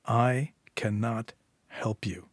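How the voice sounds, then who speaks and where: A man's voice: high-pitched, expressive, a little slow, a little mellow, no echo, studio quality, pitched above the performer's own voice. A man voicing an earnest, distressed woman nurse in an audiobook, urgent and pleading as she stands her ground. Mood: hushed, tense.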